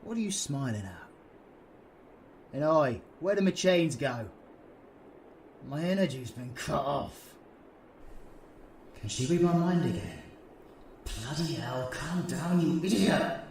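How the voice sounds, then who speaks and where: A man playing a cocky, menacing, flirtatious British supervillain in an intimate roleplay audio drama, breathy and taunting. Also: a little high, animated, slow, long pauses, a little hiss or hum. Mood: exasperated, angry. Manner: taunting, breathy, swings between whispering and shouting.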